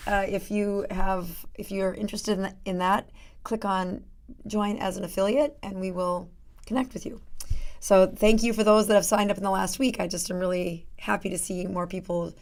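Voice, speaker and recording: A middle-aged woman doctor with a raspy voice that is going hoarse, chatting casually as host of her live stream with many fillers. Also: medium pitch, fairly even intonation, moderate pace. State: losing her voice. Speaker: doctor hosting a live show.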